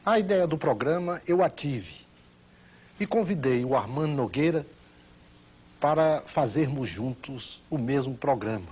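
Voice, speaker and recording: Husky-voiced Brazilian man, formal. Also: mid-range voice, animated, a little slow, a little mellow. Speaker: television programme creator giving testimony. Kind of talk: formal interview recollection.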